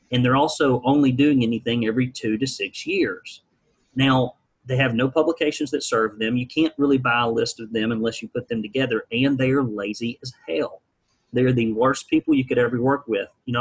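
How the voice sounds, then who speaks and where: Wry man, husky voice. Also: medium pitch, rough recording. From rural Alabama. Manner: wry, folksy, blunt humor. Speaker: guest, business founder. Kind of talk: storytelling interview answers.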